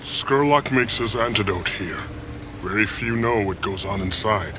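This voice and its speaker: An actor playing a secretive, world-weary insider guide in a video game cutscene, terse and earnest. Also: male voice, lowish voice, measured pace, background noise, natural voice. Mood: ominous.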